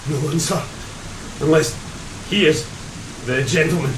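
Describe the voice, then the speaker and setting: A man's voice: a little high, unhurried, lightly acted, low-quality recording. A man playing a frightened, superstitious sailor, haunted and insistent, in a gothic horror audio drama. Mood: shaken. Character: frightened superstitious sailor, shaken by a devilish stranger. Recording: rain and ship ambience noise.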